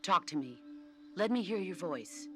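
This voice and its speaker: An actress playing a stern, composed veteran soldier and mentor in a video game, speaking with measured authority. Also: female voice, on the low side, animated. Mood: calm, intimate. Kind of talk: dramatic video game voice acting. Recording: clear music behind.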